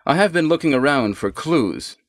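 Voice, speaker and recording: Composed squad leader, dryly recorded. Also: male voice, on the high side, lively intonation, normal speed, no room sound, studio quality. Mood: calm, guarded. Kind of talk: stilted 1990s video game voice acting.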